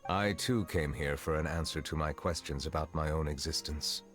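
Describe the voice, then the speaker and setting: Deep, dignified, solemn gentleman judge. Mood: solemn, reflective. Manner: formal, composed, measured. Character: dignified, reserved, solemn male judge, measured and courteous. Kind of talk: dramatic video game dialogue. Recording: music behind the voice.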